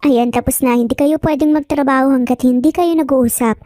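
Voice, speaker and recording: A woman's voice: high-pitched, fairly even intonation, fairly quick, warm tone, dry recording, studio quality, lightly acted. A woman voicing a stubborn, cute little boy in a dramatized story, speaking in an insistent, scolding but polite childlike way.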